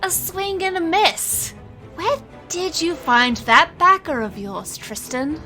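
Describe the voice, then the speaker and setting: A bright, defiant magician heroine. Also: female voice, very high-pitched, slow, soft background music, exaggerated voice. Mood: taunting. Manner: sarcastic, theatrical. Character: defiant, witty young female stage magician heroine. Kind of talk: audio drama acting and first-person narration.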